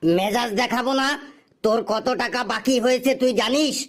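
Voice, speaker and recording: A man's voice: very high-pitched, normal speed. A man voicing an irritable middle-aged shopkeeper in a comic cartoon, scolding and exasperated. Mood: irritated. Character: irritable middle-aged male shopkeeper chasing unpaid debts.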